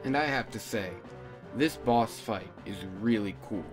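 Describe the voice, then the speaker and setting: A man's voice: fairly low pitch, a little slow. A man giving a casual, scripted opinion narration as a video game reviewer. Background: background music.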